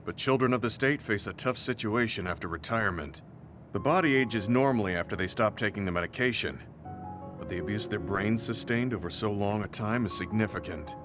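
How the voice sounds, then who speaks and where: Man acting a deep-voiced, reserved veteran soldier. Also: natural voice. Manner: measured, formal, serious. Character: reserved, old-fashioned male veteran soldier, stoic and thoughtful. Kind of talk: video game voice acting. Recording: background music over dialogue.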